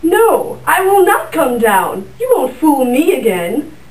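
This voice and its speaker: A woman's voice: high-pitched, big pitch swings, slow, darkish tone, heavily acted. A young woman voicing a proud, vain male rooster in a class puppet show, with stately old-fashioned phrasing. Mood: defiant. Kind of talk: school puppet show acting. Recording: roomy sound from a distant mic.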